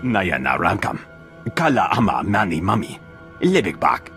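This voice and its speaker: A man's voice: deep voice, very animated, normal speed, a bit bright, soft background music, heavily acted. An actor voicing a male alien in a video game, talking in clipped, choppy syllables of an invented tongue. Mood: explanatory.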